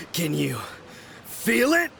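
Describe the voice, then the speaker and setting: A man's voice: high voice, wildly swooping pitch, extremely slow, creaky, background noise, heavily acted. A man playing a possessed swordmaster in a video game, hammy and unstable, swinging between bluster and wailing. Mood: boastful, manic. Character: possessed swordmaster, boastful madman turned desperate, pleading man. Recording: background music under the voice.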